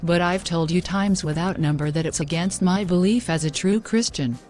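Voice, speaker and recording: A woman's voice: fairly low pitch, normal speed, a little mellow, soft background music, natural voice. A woman playing a devout, distressed young girlfriend in a scripted moral story, speaking earnestly in formal phrases. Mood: pleading.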